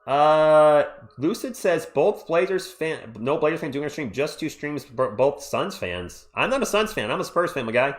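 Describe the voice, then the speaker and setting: Excitable fan calling a game live. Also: male voice, a little high. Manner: excitable and partisan, reads viewer comments. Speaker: live stream play-by-play host. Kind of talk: fan play-by-play commentary with chat shout-outs.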